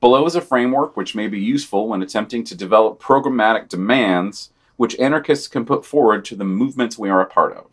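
A man's voice: on the low side, quick pace, hardly any pauses. A man co-hosting a podcast, reading a scripted news digest with quotations and brief asides. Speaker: podcast co-host.

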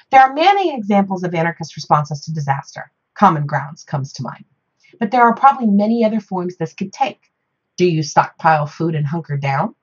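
Female podcast presenter, slightly low husky voice, reading a prepared monologue on muffled lo-fi audio. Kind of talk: scripted topical monologue.

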